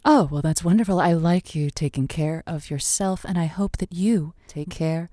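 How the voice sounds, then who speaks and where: A woman playing a polite, awkward call-in radio host in a comedic audio drama, halting and prone to stammer. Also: lowish voice, dark tone, no echo, clean studio sound, lightly acted. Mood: flustered, kind.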